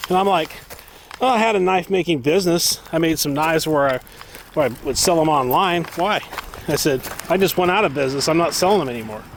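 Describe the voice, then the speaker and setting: A man's voice: a little high, fairly quick. A man telling a story in a casual, rambling, conversational way, as a vlogger out on a walk. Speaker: vlogger, former online knife maker. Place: outdoors, walking dogs in an urban area. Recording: outdoor ambience and traffic noise. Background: faint outdoor and vehicle noise.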